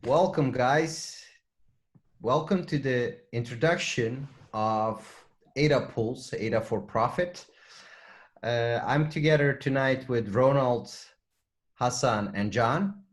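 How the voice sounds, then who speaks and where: A man with a mid-pitched voice hosts an online panel interview. He draws out his words and pauses on "uh" as he introduces the guests. His tone is conversational.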